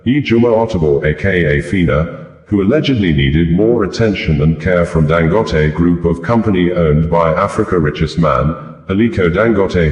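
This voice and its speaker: Very deep-voiced male news reader. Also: a little mellow. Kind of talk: scripted news narration. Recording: roomy, echoing voice recording.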